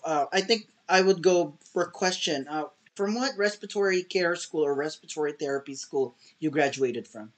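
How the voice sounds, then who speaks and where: Higher-pitched man hosting a show. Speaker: show host. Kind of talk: semi-formal online show hosting.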